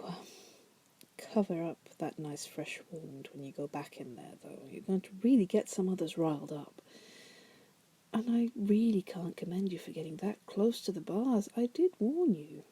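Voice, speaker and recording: A caged female demon, played by a woman in an ASMR roleplay. Her voice is very mellow and dark, with a husky breathiness that often slips into a whisper. She is teasing and condescending, a bored manipulator who coaxes and flirts. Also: fairly low pitch, expressive, moderate pace. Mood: flirtatious warning. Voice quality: soft, breathy. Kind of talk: ASMR roleplay monologue to the listener.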